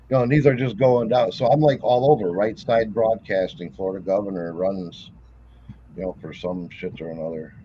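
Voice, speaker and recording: A man co-hosting a livestream in relaxed, rambling casual chat. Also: on the low side, normal speed, lo-fi sound, a little hiss or hum. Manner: rambling, laid-back, trailing off. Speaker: co-host.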